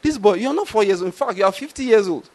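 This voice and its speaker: A man's voice: sits high, lively intonation, quick pace, a little mellow. A male preacher acting out an auntie teasing a small boy. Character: teasing exasperated auntie, a woman.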